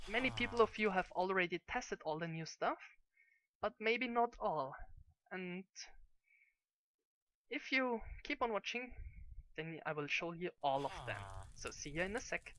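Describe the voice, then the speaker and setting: A woman's voice: on the low side, sharp tone, slight background noise, low-quality recording. A woman giving casual showcase commentary as host of a gaming video. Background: music.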